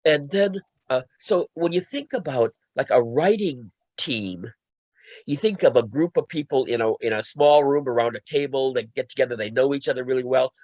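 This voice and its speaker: Halting man, close dry sound. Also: mid-range voice, a little mellow, no echo. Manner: halting, repeats and restarts phrases often. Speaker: radio play co-writer and panelist. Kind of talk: casual panel talk recounting a creative process.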